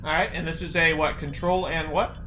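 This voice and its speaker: A man's voice: on the high side, steady tone, brisk, pushed and bright, lo-fi sound, soft background music. A man playing a tabletop role-playing game, talking in casual, colloquial, joking banter. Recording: noticeable background noise.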